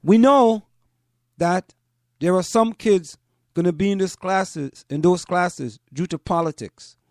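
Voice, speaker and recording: A man with a high voice, recorded dry and close. He talks to listeners as a host giving commentary, deliberately, repeating phrases to drive them home. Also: no room sound. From Trinidad and Tobago. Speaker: host of a community parents' talk show.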